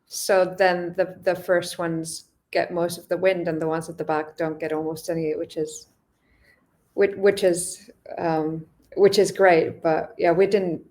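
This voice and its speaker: A woman from Finland with a lowish voice talks through her travels at an easy pace. She often stops and restarts her sentences as she searches for words. It sounds like relaxed podcast conversation. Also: a little slow. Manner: hesitant, many restarts, thoughtful. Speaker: podcast guest, long-distance cyclist. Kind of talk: casual interview chat.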